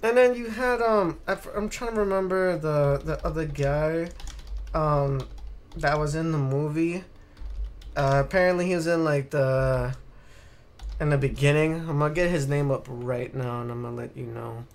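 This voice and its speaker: A man with a slightly higher voice talks in a loose, casual podcast style. He leans on repeated words for emphasis and sounds exasperated as he rants, swearing freely. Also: normal speed, slight background noise. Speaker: podcast host. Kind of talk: casual chat, ranting film commentary.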